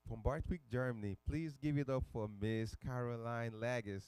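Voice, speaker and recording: A man's voice: mid-range voice, moderate pace, a little mellow, clean tone. A man hosting a church career night, introducing guests playfully, with fillers. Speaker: event host. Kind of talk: introducing speakers at a church event. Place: church hall.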